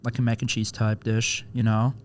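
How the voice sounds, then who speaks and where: A man's voice: medium pitch, little pitch movement, normal speed. A man from Bosnia chatting casually as an agreeable podcast guest. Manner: agreeable, frequently chiming in. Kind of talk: casual chat. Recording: faint background noise and ambience.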